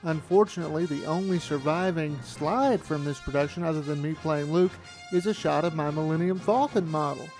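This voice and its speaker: A man's voice: on the high side, fairly quick, darkish tone, soft background music, low-quality recording. A middle-aged man narrating a documentary, looking back on his amateur filmmaking.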